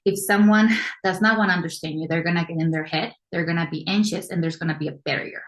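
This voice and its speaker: A woman from Mexico with a Mexican Spanish accent, a therapist talking reflectively in a panel discussion with frequent "um" pauses. Spanish is her first language. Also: on the low side, fairly even intonation. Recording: roomy echo.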